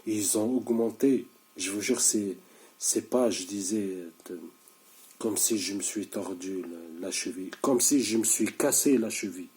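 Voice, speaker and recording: A man's voice: fairly low pitch, unhurried, darkish tone. A sleep-deprived man in his thirties talking straight to camera, insistent and repetitive, stumbling and restarting often. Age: 30s. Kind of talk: casual video monologue.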